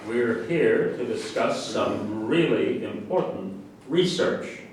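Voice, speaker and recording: A man's voice: fairly low pitch, animated, slow, distant mic. A man playing a sarcastic, contrary professor in a staged play reading. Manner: contrary, retorting. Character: touchy, sarcastic professor and government researcher. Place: echoey room at a staged reading. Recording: echoey, noisy room recorded from afar.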